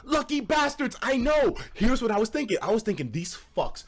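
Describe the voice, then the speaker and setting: A man's voice: very high-pitched, fairly quick. A young man with an American accent telling a casual story to a live chat, slangy and profane, acting out the dialogue as he goes. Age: young adult. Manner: animated, slangy and profane, acts out quoted dialogue. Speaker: streamer.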